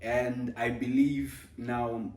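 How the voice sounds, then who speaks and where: A man's voice: mid-range voice, level tone, extremely slow, a little mellow, echoey room, far from the mic. A man co-hosting a casual couple vlog, speaking hesitantly with many fillers and laughs. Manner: hesitant, lots of ums and laughs. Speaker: co-host, husband.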